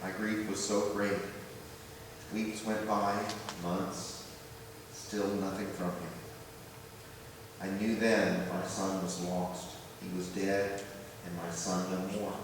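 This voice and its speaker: A man's voice: on the low side, close to an everyday voice. A man playing a loving, emotional father of grown sons in a church readers theater monologue, speaking in a heartfelt, reflective way. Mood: grieving. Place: large church room, live before congregation. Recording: very echoey church hall, distant, faint noise.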